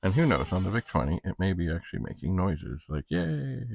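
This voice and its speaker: A man thinking aloud in a halting, casual ramble as he hosts a hands-on hobby demonstration, muttering to himself. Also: sits low, big pitch swings, gravelly, a little mellow, dry recording. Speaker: retro computer hobbyist host. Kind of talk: casual rambling demonstration while testing equipment.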